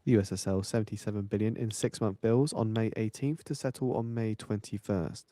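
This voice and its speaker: A man reading terse financial news headlines in a flat, measured way. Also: lowish voice, dry recording. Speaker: market news reader.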